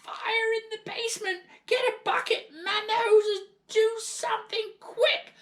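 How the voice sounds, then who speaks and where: A man's voice: very high voice, huge pitch swings, moderate pace, vocal fry, pitched above the performer's own voice, exaggerated voice. A man voicing a bossy, crabby old grandmother in a storybook reading, screeching and scolding. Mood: panicked. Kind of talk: storybook read-aloud character voice.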